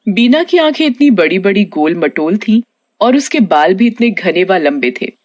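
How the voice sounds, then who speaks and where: A woman's voice: medium pitch, big pitch swings, moderate pace. A woman reading a scripted horror story narration for a cartoon tale.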